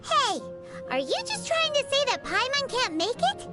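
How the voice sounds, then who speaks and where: Game sidekick: a tiny cheeky fairy girl, extremely high squeaky voice, excitable, music underneath. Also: normal speed. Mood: indignant. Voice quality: squeaky, childlike. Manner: refers to self in third person, exclaiming, bubbly. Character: tiny childlike female fairy companion, cheeky, excitable, easily offended. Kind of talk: English video game voice acting. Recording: music behind the voice.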